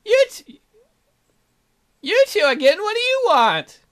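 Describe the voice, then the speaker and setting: A woman's voice: very high-pitched, big pitch swings, slow pace, voice pushed up, exaggerated voice. A woman voicing a fussy, devoted magical attendant in a visual novel, prim and snippy but deferential to a lady. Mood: bickering.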